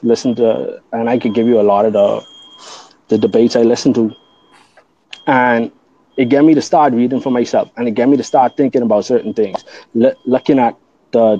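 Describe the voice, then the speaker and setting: A man's voice: medium pitch, dark tone, lo-fi sound. A man from the Bahamas, a guest on a casual podcast, who explains his beliefs earnestly and at length, often restarting his sentences.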